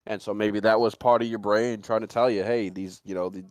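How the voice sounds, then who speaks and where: Low-voiced man rambling in slangy casual chat. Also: steady tone, a little fast, no room sound. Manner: rambling, slangy, frequent swearing. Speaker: livestream host.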